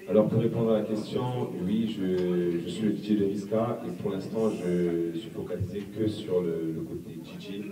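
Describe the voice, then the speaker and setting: A man's voice: low-pitched, fairly even intonation, normal speed, few pauses, roomy sound, distant mic, crowd noise, low-quality recording. A male DJ politely thanking his hosts and answering a question.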